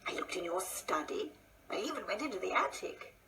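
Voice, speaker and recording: An actress playing a prim, patient but exasperated older wife in a radio play, chiding and full of questions. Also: female voice, medium pitch, expressive, brisk, bright, forceful tone, slight background noise. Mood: fretful, searching.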